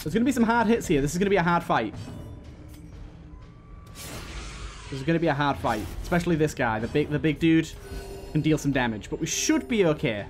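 A man with a fairly high voice commentates casually on a game as he plays. He speaks to the characters directly and repeats himself, with music playing underneath. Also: lively intonation, fairly quick, pauses often. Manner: talks to the game's characters, repeats phrases. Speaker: gaming host. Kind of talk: casual let's play commentary.

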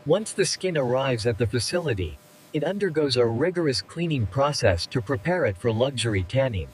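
A man reading formal, steady documentary narration. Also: medium pitch, a little fast, background noise.

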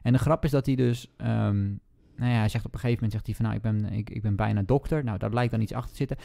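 A man chatting casually about books as a podcast host, speaking haltingly and hedging as he thinks aloud. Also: fairly low pitch, fairly even intonation, vocal fry, dark tone, dry recording, studio quality. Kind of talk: casual book discussion.

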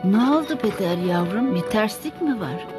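A woman voicing a gentle, worried old village grandmother in a children's cartoon. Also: medium pitch, expressive, normal speed, close to an everyday voice. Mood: concerned. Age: older. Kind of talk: dubbed children's cartoon acting. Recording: loud music behind the voice.

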